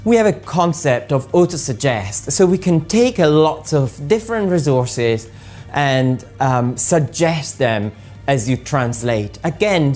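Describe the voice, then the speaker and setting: Very low-voiced woman explaining. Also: darkish tone. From Italy. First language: Italian. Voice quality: patient, explaining step by step with frequent ums. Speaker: software presenter. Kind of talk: explanatory talk about translation software.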